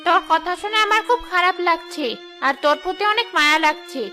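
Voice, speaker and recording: A woman's voice: extremely high pitch, fairly quick, bright, forceful tone, faint music behind. A woman voicing a predatory female ghost witch who lives in a tree hollow, with a gloating, menacing manner in a cartoon. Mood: softened. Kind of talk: cartoon voice acting.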